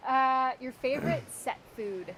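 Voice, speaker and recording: Woman, 30s, slightly high voice, friendly chatty interviewer, faint background noise. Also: fairly bright tone. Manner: friendly, chatty, quick to agree. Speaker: interviewer and co-star. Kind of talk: casual interview chat.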